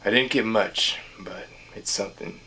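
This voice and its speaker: A man's voice: fairly low pitch, moderate pace, sharp tone, in a whisper, poor audio. A man playing a weary amateur moviemaker, muttering to himself in a short film monologue. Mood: tired, relieved. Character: weary man struggling to finish making his movie.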